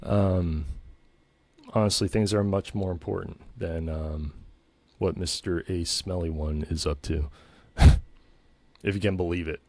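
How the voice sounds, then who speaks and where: Smug low-voiced man rambling on. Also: fairly even intonation, a little slow, darkish tone, no echo. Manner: smug, pompous and self-aggrandizing; meandering, full of ums and restarts. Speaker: comedy channel host. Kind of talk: rambling comedic monologue.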